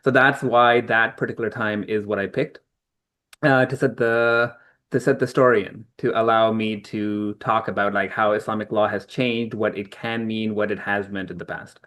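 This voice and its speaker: A man's voice: on the low side, fairly even intonation, normal speed, a bit bright. Thoughtful middle-aged man from Pakistan. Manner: thoughtful, explanatory, with frequent ums and restarts. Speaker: author guest. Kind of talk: conversational interview answers.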